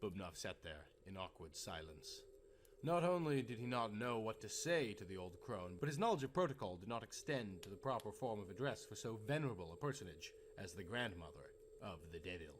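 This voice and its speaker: Animated male storyteller over music. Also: lowish voice, brisk, slight background noise. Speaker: storyteller, narrator. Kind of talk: dramatic scripted story narration.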